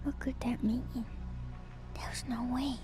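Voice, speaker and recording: A woman doing ASMR in a breathy whisper, reading lore aloud with hushed, enthusiastic casual commentary. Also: high voice, fairly even intonation. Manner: hushed, slow, enthusiastic asides. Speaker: streamer and lore reader. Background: music.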